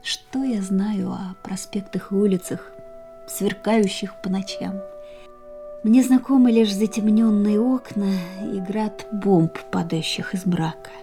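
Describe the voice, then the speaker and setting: Actress playing a mellow, world-weary young woman. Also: mid-range voice, close to an everyday voice. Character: wistful young woman of twenty-four, war-scarred and world-weary. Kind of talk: radio drama acting, dialogue and monologue. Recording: studio radio play with music behind.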